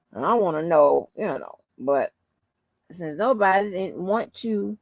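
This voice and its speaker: A woman hosting a casual livestream, rambling informally to her viewers in a soft, calming voice. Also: fairly low pitch, no echo. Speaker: livestream host.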